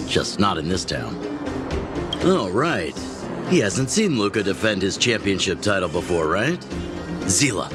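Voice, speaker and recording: A low male voice plays a seasoned game chief. He is genial and teasing, talking like an avuncular mentor to his fighters. Music runs clearly behind the voice. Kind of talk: video game voice acting.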